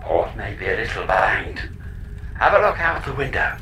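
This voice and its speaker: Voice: low-pitched, very animated, normal speed, pushed and bright, soft background music, full character voice. An actor voicing a glitchy male spaceship computer in an audio comedy, pedantic, childish, petulant and evasive. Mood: matter-of-fact. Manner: petulant, literal-minded, evasive. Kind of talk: audio comedy drama acting.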